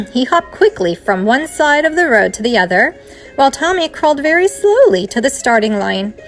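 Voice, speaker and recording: A woman reads a children's story aloud like a teacher. Her voice is fairly high and very varied in melody, with faint music behind it. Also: big pitch swings, normal speed.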